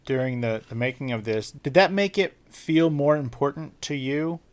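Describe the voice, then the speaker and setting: A man hosting an interview podcast in an easygoing, conversational way. He drifts into long, rambling anecdotes between his questions, in a voice of ordinary pitch. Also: moderate pace. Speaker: podcast host. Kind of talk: casual interview chat.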